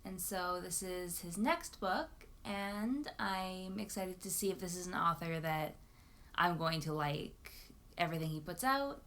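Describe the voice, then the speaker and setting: A woman's voice: lowish voice, a bit bright. Casual, enthusiastic woman book vlogger. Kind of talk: casual chat to camera about books.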